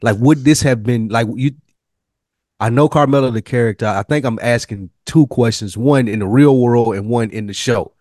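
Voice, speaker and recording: A man's voice: mid-range voice, normal speed, a little mellow, no room sound, clean studio sound. A man co-hosting a casual podcast, asking questions in a playful way. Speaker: podcast co-host. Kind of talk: casual chat.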